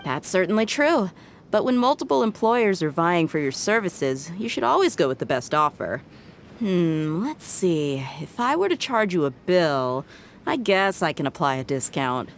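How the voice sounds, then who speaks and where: Low-voiced, teasing young mercenary woman. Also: big pitch swings, moderate pace, a little hiss or hum. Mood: playfully haggling. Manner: playful, smooth teasing, self-assured. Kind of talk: video game voice acting.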